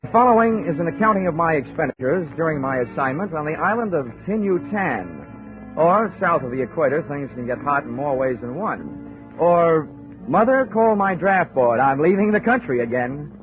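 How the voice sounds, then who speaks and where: A man's voice: a little high, animated, moderate pace, close to an everyday voice. An actor playing a male insurance investigator, who narrates and delivers dry, wry wisecracks in 1940s radio acting. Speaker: lead and narrator. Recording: tinny old radio broadcast with music.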